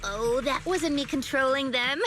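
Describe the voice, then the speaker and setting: A woman voicing a gentle, earnest young female specialist in a video game, explaining things thoughtfully. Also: high-pitched, unhurried. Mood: explanatory, focused. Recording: music behind the voice. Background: game music.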